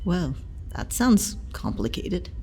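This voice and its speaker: A woman playing a dry, unflappable caretaker in an audio drama, needling in a wry, deadpan way. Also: on the low side, slow pace, gravelly, husky, whispered, full character voice. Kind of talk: full-cast audio drama acting.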